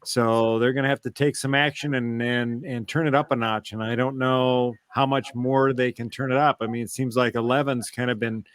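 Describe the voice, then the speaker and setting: A male podcast host with a mid-pitched voice, chatting casually. He tends to feel his way toward the right word, and the recording is dry, with hardly any room sound. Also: fairly even intonation, moderate pace, few pauses, smooth, no room sound. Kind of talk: casual chat.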